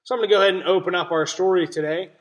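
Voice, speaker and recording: Higher-voiced man teaching through a read-aloud. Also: steady tone, very fast, muffled recording. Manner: explanatory, checks in with simple questions. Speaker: teacher reading a picture book aloud. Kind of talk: children's read-aloud lesson.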